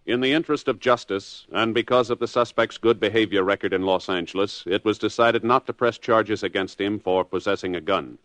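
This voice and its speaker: A man with a fairly low voice works as an old-time radio announcer. His delivery is formal, measured and scripted, with music clearly behind him. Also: fairly bright tone, no room sound.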